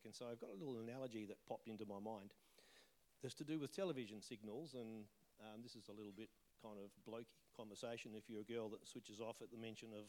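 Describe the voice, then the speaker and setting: A man preaching as a visiting pastor in a church auditorium, conversational with joking asides and emphatic rises on key words, his voice carrying an occasional croak from the tail end of a cold. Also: fairly low pitch, a little mellow. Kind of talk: sermon with prayer.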